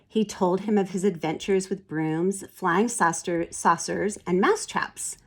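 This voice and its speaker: A woman's voice: on the low side, measured pace. A woman reading a children's storybook aloud expressively and explaining things in a teacherly way. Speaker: storybook reader. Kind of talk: expressive children's read-aloud with picture commentary.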